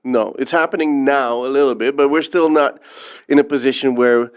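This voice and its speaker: A man's voice: mid-range voice, a little fast, smooth. A man from the Netherlands, a filmmaker guest on an interview, talking in an earnest, reflective storytelling way. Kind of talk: interview conversation.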